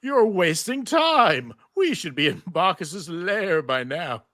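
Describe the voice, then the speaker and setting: Casting: man as embittered, urgent male fantasy companion, drawn-out scolding delivery, bright edge. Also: high-pitched, very animated, no room sound, clean studio sound, voice pushed up, heavily acted. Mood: impatient, urgent. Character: Orpheus, bitter urgent male head scolding his companions. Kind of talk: live table read of a TV fantasy script.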